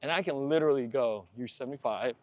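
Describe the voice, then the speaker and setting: A man's voice: medium pitch, quick pace, no echo, muffled recording. A man in his forties, a guest on a walking chat, talking loosely with repeated words and fillers. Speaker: podcast host, guest. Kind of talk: casual conversation while walking. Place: outdoors on a walk.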